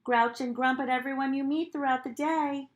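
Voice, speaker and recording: A woman's voice: high-pitched, steady tone, normal speed, pitched above the performer's own voice, natural voice. A woman voicing small, nasty, grumpy monsters from a storybook in a bossy, sing-song chant. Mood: gleefully grumpy.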